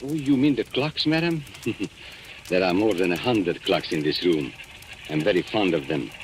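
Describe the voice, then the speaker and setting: A man's voice: deep voice, expressive, normal speed. A man playing a suave, menacing antique dealer and blackmailer in an old radio mystery, polite, smug and theatrical. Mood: courteous, smug. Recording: old radio recording with background hiss.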